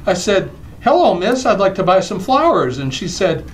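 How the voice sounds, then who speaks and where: A man plays a jovial, lovestruck bachelor in a radio comedy. His delivery is hammy and eager, with very lively intonation over a noisy recording. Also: sits high, moderate pace. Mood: smitten, jovial. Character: lovestruck, jovial bachelor pal telling silly jokes. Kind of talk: old-time radio comedy acting.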